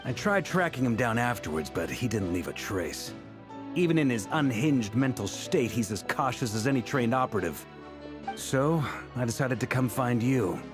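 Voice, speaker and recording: A man voicing a wry, lively knight commander. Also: mid-range voice, moderate pace, music in the background, close to an everyday voice. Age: middle-aged. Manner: self-assured, wry, commanding. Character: seasoned knight commander, confident and easygoing. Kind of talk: video game voice acting.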